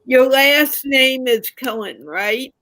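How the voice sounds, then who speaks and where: A woman hosting an online group call, slowly guiding participants. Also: high-pitched, bright, forceful tone, no room sound, studio quality. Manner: slow, directing participants. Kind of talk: casual group video call.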